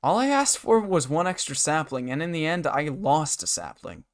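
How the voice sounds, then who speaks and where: A hoarse man live-streaming a game from his bedroom desk, rambling and muttering to himself and his chat. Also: fairly high pitch, wildly swooping pitch, moderate pace. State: hoarse, scratchy throat.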